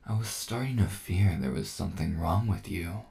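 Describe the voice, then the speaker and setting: Man acting a gravelly, low-voiced, menacing villain. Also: dark tone. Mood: smug taunting. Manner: taunting, slow menace, chuckling condescension. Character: menacing, smug cyborg villain, dominant male kidnapper. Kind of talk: ASMR boyfriend audio roleplay.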